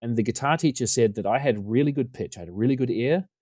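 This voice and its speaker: Talkative New man, low and mellow. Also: fast, no room sound, studio quality. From New Zealand. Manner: talkative, rambling, self-deprecating. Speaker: podcast guest. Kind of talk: casual conversation. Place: home room.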